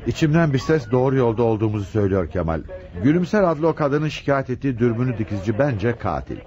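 A man's voice: mid-range voice, expressive, fast talker, warm tone, close to an everyday voice. A man acting an impatient, commanding police chief inspector in a radio drama, urgently giving short, repeated orders. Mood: intent. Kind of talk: Turkish radio theatre acting. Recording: dull, lo-fi radio recording.

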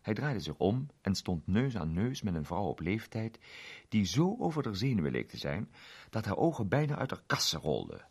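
A man with a low-pitched voice narrates a scripted story. His delivery is expressive, with lively, wide-ranging intonation throughout. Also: moderate pace, husky.

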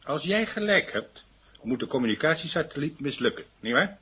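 An actor playing a stubborn, skeptical, gruff police inspector who questions sternly in a 1970 radio drama. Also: male voice, fairly high pitch, big pitch swings, brisk, fairly bright tone, slight background noise.

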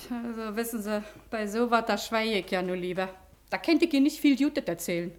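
A fairly high-voiced working-class Berlin woman, played by an actress in a classic radio drama. She is chatty and folksy, with a mock-humble frankness that hides her cunning. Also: brisk, slight background noise, lightly acted. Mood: coy. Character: plain-spoken, sly working-class washerwoman feigning honest simplicity. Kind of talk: classic German radio play acting.

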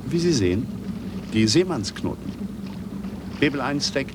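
An actor playing a patient, contented waiter with a creaky voice in a German radio drama. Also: male voice, mid-range voice, very animated, unhurried. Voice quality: creaky, gravelly. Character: patient, contented waiter practising sailor's knots. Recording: distant voice under very loud noise.

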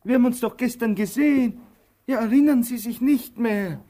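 An actor playing an earnest, questioning tramp with an insistent, inquisitive manner in a radio drama. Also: male voice, extremely high pitch, moderate pace, mellow. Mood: insistent, curious. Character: earnest, questioning male tramp, bossy yet helpful. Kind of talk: theatrical radio drama acting.

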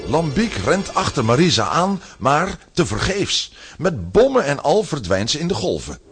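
A man narrating a children's radio play from a script, with a husky voice and animated storytelling. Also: a little high, normal speed, over music.

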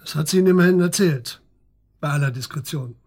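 An actor playing a terse, sceptical police detective who puts curt, probing questions in a radio drama. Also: male voice, on the high side, fairly quick, darkish tone, husky. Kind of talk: German radio drama acting, interrogation dialogue.

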